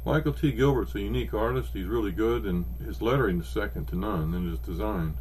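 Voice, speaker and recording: A man's voice: fairly low pitch, a little fast, a little mellow, slight background noise. A man in his fifties with an Oklahoma accent, chatting casually as a podcast co-host, rambling with ums and restarts. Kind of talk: casual chat about comics.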